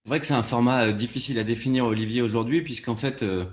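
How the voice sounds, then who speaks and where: A man's voice: mid-range voice, steady tone, fast talker. A man explaining things as a podcast producer in a panel discussion.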